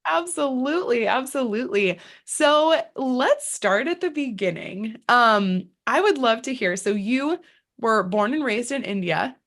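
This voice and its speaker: A woman's voice: on the high side, animated, measured pace, fairly bright tone. A woman hosting a podcast interview, effusive and gushing as she introduces her guest and asks questions.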